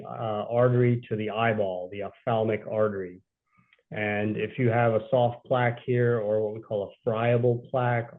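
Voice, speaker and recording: A man's voice: medium pitch, phone-quality sound. A man speaking as a surgeon on a health panel, explaining carefully with frequent "uh"s. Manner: measured explanation with fillers. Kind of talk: medical panel Q&A.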